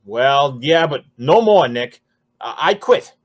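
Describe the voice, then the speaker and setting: A man's voice: medium pitch, animated, takes it slowly. A man playing a nervous, cringing underworld informant in 1940s radio style, stammering and whining in slang. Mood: frightened.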